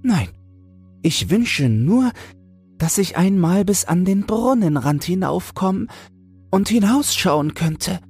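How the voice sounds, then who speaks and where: A man's voice: high voice, sing-song, slow pace, a little mellow, husky, soft background music, pitched above the performer's own voice, exaggerated voice. A man voicing the smallest young toad in a fairy tale, humble and wistful, speaking with earnest longing.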